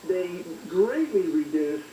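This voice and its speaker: A man's voice: high-pitched, big pitch swings, takes it slowly, dark tone. A man speaking as a doctor guest on talk radio, explaining things informally and repeating phrases as he goes. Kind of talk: conversational medical explainer. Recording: roomy, hissy, faint noise.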